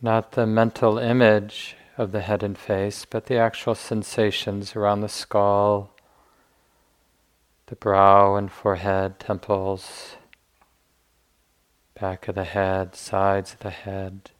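A man leading a guided meditation as a teacher, speaking gently in unhurried phrases with long pauses. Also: on the low side, flat delivery, clear, resonant tone.

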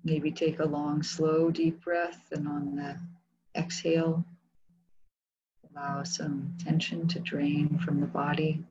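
A woman leading a guided meditation as a teacher, speaking calmly and gently with long pauses in a soft, husky voice. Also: low voice, slight background noise. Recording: dull, muffled lo-fi recording.

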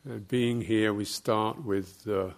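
A man giving guided meditation instruction as a teacher, speaking in short, measured phrases with long, halting pauses. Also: lowish voice, steady tone.

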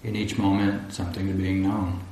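A man guiding a meditation as a teacher, speaking calmly and deliberately in short phrases with long pauses. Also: sits low. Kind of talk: guided meditation instruction. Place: large echoey indoor room at a retreat. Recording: roomy echo, faint background noise.

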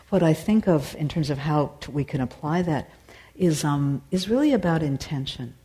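A woman meditation teacher and singer answering audience questions after a dharma talk, thoughtful and searching, with many pauses and restarts. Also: rumbling low, warm tone.

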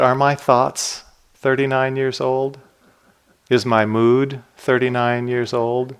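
A man teaching meditation in a dharma talk, calm and reflective, putting questions to his listeners. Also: mid-range voice, clean tone. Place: retreat center hall.

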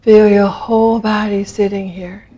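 A woman leading a guided meditation in a gentle, unhurried manner, leaving long pauses between phrases. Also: medium pitch, level tone, slightly rough. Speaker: meditation teacher.

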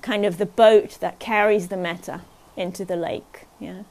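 Woman, meditation teacher at a retreat, gentle and unhurried, keeps checking in with the group. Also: mid-range voice, steady tone, gravelly. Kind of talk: guided meditation talk at a retreat.

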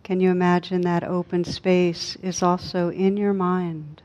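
A woman leading a guided meditation as a teacher, speaking calmly and unhurriedly with long pauses. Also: fairly low pitch. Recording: dull, lo-fi recording.

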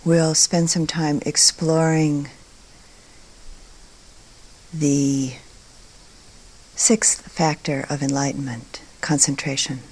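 A woman with a low-pitched voice gives a guided meditation talk. She speaks very slowly and calmly, setting out each short phrase with care and leaving generous pauses between them. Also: slightly rough. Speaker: meditation teacher.

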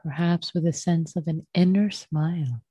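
A woman teaching a guided meditation in a gentle, unhurried manner, leaving long pauses between short phrases. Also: low-pitched, fairly even intonation, husky, clean studio sound. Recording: muffled, roomy sound.

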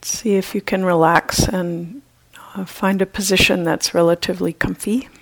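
A woman leading a guided meditation as a teacher, speaking gently and unhurriedly with long pauses. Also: on the low side, steady tone, low-quality recording.

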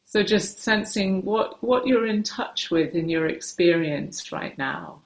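Woman, meditation teacher, very unhurried delivery, gravelly fry, gentle instruction, hesitant pauses, roomy echo. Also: lowish voice. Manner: unhurried, hesitant pauses between short phrases. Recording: roomy, echoing space.

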